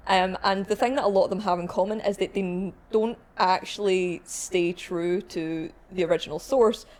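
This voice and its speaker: A woman's voice: mid-range voice, steady tone, moderate pace, darkish tone. A woman co-hosting a podcast in casual panel chat with fellow arcade staff. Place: arcade shop, indoors.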